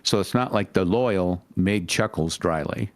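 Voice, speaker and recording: A man's voice: sits low, unhurried. A man in casual, joking group chat, co-hosting with a wry and slightly pedantic manner. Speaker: co-host of a fanfiction reading show.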